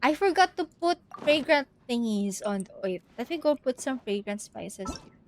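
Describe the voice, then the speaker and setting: A woman's voice: fairly high pitch, lively intonation, moderate pace, slight background noise. A woman chatting casually on a game livestream, thinking aloud in a hesitant, giggly way, with game music behind her. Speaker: game streamer. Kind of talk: casual livestream chat while playing.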